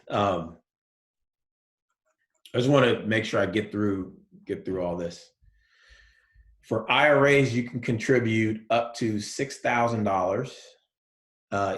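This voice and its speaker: Man, professor, low voice, soothing, relaxed lecture, fillers, echoey room. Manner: relaxed, soft-spoken, many fillers. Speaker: college accounting professor. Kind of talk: recorded online lecture.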